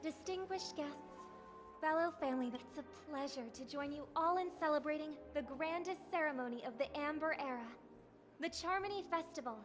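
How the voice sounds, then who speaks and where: A woman's voice: sits high, normal speed, close to an everyday voice. An actress voicing a gracious young woman delivering a formal festival speech in a poised, ceremonial manner. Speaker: festival speaker. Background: game music.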